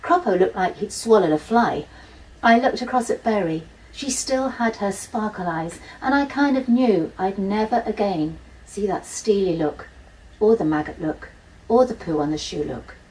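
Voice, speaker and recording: A woman's voice: mid-range voice, expressive, normal speed, a little mellow. Woman reading a children's story, slightly distant. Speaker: author reading from a children's novel. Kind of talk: first-person children's audiobook narration.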